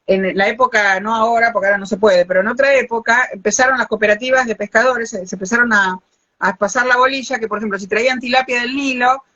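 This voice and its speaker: Older argentine lady chatting on a live video call, higher voice, kinda dull sound. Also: quick pace. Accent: Rioplatense Spanish. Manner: animated storyteller, many restarts. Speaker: host, biologist. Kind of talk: live interview, casual chat.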